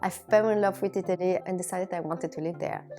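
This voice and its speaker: A woman in her thirties from Bulgaria, a native Bulgarian speaker, giving a reflective personal monologue to viewers in measured, deliberate phrases. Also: on the low side, fairly even intonation, mellow, faint music behind. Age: 30s. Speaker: video blogger.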